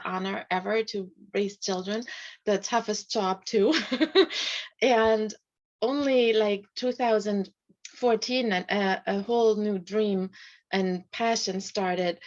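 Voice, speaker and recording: A middle-aged woman, a life coach, speaking softly in a casual video call interview, with light laughs. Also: medium pitch. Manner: soft-spoken, often laughs lightly. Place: home room. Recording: slightly dull video call audio.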